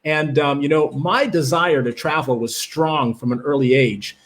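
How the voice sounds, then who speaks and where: A man's voice: a little high, normal speed, slight background noise. A man with a Canadian accent, born in Jamaica, hosting a live webinar, talking with enthusiasm and many fillers while greeting viewers from the chat. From Jamaica, raised in Canada. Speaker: livestream presenter.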